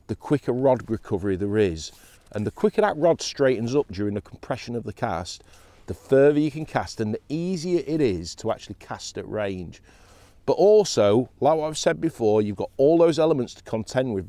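A man in his forties teaches fishing outdoors beside a lake, speaking with emphatic energy. His pitch swings widely as he stresses points, and his voice sits on the mellow, darker side. The sound is close and dry. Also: no echo. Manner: animated, emphatic explainer. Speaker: professional angler.

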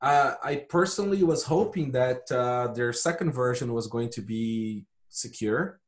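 A man's voice: mid-range voice, unhurried. A man from Brazil giving casual, matter-of-fact explanations as a podcast guest. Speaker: podcast guest, hardware company founder.

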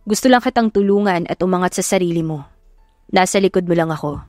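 Protective romantic male lead read by a woman, measured and reassuring, audio romance, dry close sound. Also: on the low side, darkish tone, dry recording, faint music behind, close to an everyday voice. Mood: calm, reassuring. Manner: reassuring, measured, code-switching. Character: calm, protective wealthy young man, romantic lead.

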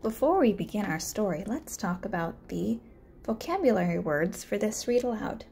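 A woman's voice: mid-range voice, very animated, normal speed, vocal fry, darkish tone, a little hiss or hum. A woman reading a children's story aloud as a learning coach, introducing and narrating it.

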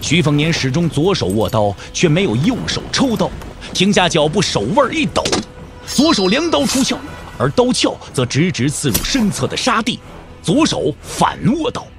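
Lively male storyteller, high-pitched and animated. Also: normal speed, over music. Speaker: narrator of an audio drama. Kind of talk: dramatic scripted narration of martial-arts fiction.

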